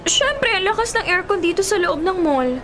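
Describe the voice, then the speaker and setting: A Filipino woman acting an anxious, doubting young girlfriend in a radio drama, hesitant, coy and expressive. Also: extremely high pitch, normal speed, a little hiss or hum, heavily acted. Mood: nervous, flustered. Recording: music playing behind the voice.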